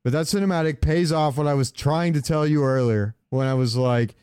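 A man co-hosting a podcast in casual chat, talking like an animated, argumentative fan. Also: fairly high pitch, brisk, clean tone, no room sound, studio quality. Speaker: podcast co-host. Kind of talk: casual chat about game cinematics.

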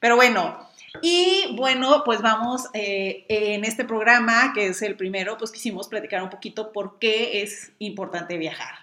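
A chatty Mexican woman with a bright, forward voice, co-hosting a podcast and teasing her partner as she talks. The room around her is echoey. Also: mid-range voice, measured pace. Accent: Mexican Spanish. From Mexico (Mexicali, Baja California). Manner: chatty, laughing often, playful teasing. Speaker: podcast co-host. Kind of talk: casual chat.

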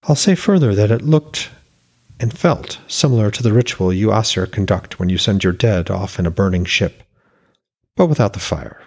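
Respectful, composed goddess of magic, played by a man: measured delivery, husky low tone. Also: lively intonation, a little mellow.

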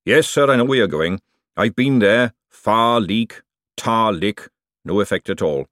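A man's voice: fairly low pitch, unhurried, a bit bright, no room sound, studio quality. A man voicing a nervous, servile male chef in an audiobook, hesitant and deferential. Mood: resigned. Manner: hesitant, servile, repeatedly saying "sir". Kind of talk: audiobook character dialogue.

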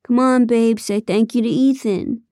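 A smug, controlling man who croons every threat in a sing-song, mock-sweet manner, as a woman reading the story puts him on. The voice sits high and bright against a dark, mellow reading voice, dry and close. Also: fairly even intonation, unhurried, no room sound, close to an everyday voice. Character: grown man, smug domineering reality-TV ringleader.

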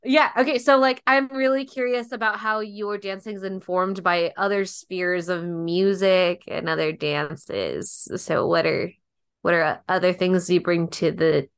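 A woman's voice: on the low side, fairly even intonation, a little slow, smooth, no room sound, clean studio sound. A young woman who is a guest on a podcast, chatting casually, filling her speech with likes and ums and laughing easily. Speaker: podcast guest, dance partner.

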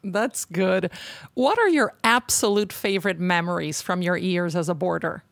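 A woman hosting a podcast interview, reading a polished intro and asking questions in a friendly, smooth manner. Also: on the low side, moderate pace, no echo. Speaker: podcast host.

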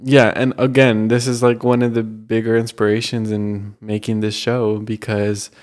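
A man's voice: on the low side, level tone, normal speed, darkish tone. A young man hosting a casual solo podcast, rambling with lots of fillers. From United States, Colombian family. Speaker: podcast host.

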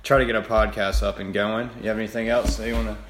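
A man's voice: on the low side, little pitch movement, fast talker, a little hiss or hum. A young man hosting a podcast in an informal, easygoing, loosely phrased chat. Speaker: podcast host. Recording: echoey room.

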